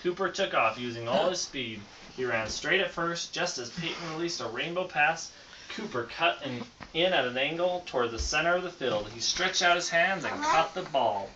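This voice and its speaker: A man's voice: fairly high pitch, animated, moderate pace, lo-fi sound. A father reading a picture book aloud to a small child at home, stopping to answer as the child points at the pictures. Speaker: father reading a bedtime story. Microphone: phone. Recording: noisy home phone recording.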